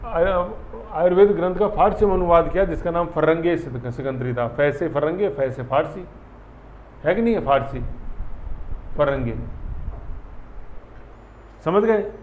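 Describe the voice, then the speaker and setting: A man's voice: a little high, measured pace, pauses often, slight background noise. A man teaching an exam-prep history lesson in a chatty, repetitive way, often quizzing his students. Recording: echoey room, dull audio, faint ambience.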